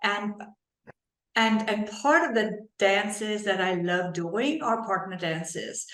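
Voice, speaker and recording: A woman from Germany with a German accent, a guest on a podcast talking about her life in a relaxed, reflective way and laughing lightly now and then. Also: mid-range voice, slow pace, roomy sound, low-quality recording. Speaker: podcast guest, leadership coach. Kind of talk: casual interview conversation.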